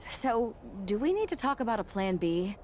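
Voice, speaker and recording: A woman's voice: on the high side, very animated, moderate pace, darkish tone, slight background noise. A woman playing a blunt, wry ex-MMA wrestler and ally in a video game cutscene.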